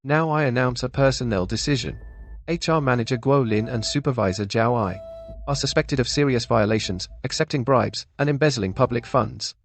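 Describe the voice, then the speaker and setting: A man playing an aging company chairman with a formal, measured, official manner in a dubbed melodrama. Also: medium pitch, soft background music, lightly acted. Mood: solemn. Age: older. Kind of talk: dubbed melodrama audio drama.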